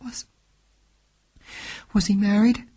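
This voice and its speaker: A woman's voice: medium pitch, steady tone, takes it slowly, warm tone, husky, pitched above the performer's own voice. A woman reading an audiobook, voicing a frightened young woman who pleads for help, with a thin, tremulous, faltering voice that slips into whispers. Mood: pleading. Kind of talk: audiobook character voice.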